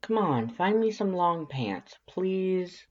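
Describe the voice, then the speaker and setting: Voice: mid-range voice, sing-song, slow, darkish tone, lo-fi sound, voice pushed up. A reader voicing a reluctant, wry young human who grumbles plaintively in fan fiction dialogue. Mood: whiny protest.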